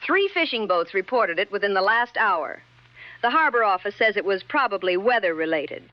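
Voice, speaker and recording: An actress playing a composed 1960s radio co-host who speaks with deadpan, wry understatement. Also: female voice, medium pitch, normal speed, dry recording, dull audio, close to an everyday voice. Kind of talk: 1960s radio broadcast acting.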